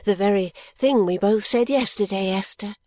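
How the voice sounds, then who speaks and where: A woman voicing a trusting, loving young woman in an audiobook, with a gentle, confiding and eager manner. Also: mid-range voice, normal speed, no room sound, close to an everyday voice. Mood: delighted. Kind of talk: audiobook character dialogue.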